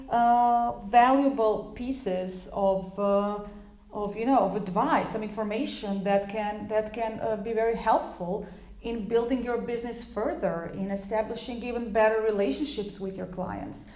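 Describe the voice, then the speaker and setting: A woman's voice: a little high, a little mellow, echoey room. A woman whose first language is Croatian, giving a loose, talkative English lesson full of fillers and restarts. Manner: chatty, many fillers and restarts. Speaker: English teacher. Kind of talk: informal spoken lesson.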